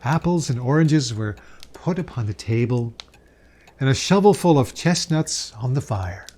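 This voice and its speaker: A man giving a dramatic storybook reading of a Victorian Christmas tale, savoring the words with expressive pauses. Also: mid-range voice. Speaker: storyteller reading a classic novel aloud.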